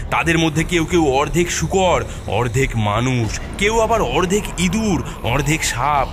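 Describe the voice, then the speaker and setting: Male horror-cartoon storyteller over prominent music. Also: mid-range voice. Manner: suspenseful, scripted storytelling. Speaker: narrator. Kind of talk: dramatic cartoon story narration. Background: prominent background music.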